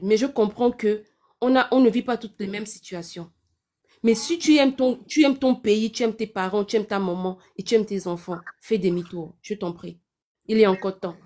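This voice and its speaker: High-voiced, pleading Gabonese woman. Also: darkish tone. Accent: Gabonese French. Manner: insistent, big-sisterly exhorting. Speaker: panel participant giving advice. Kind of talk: earnest pleading advice on a live call-in panel.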